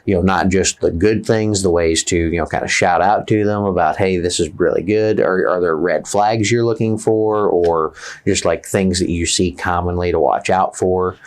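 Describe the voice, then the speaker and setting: A man interviewing a colleague, asking his questions in a measured, hesitant way with frequent fillers. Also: sits low, steady tone. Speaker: interviewer, compliance officer.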